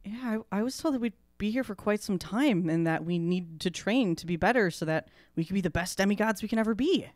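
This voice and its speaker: Mid-pitched woman, dry studio sound. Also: animated, fairly quick, few pauses, a little mellow, dry recording. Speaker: tabletop game player. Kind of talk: casual in-character conversation.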